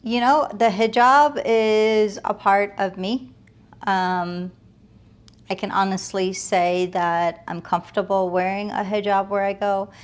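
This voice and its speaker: A woman's voice: mid-range voice, fairly even intonation, slow pace, clean tone. A woman giving reflective, earnest interview answers in a conversational way, often building emphasis with short listed phrases. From United States, moved from Afghanistan as a toddler. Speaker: interview guest, realtor.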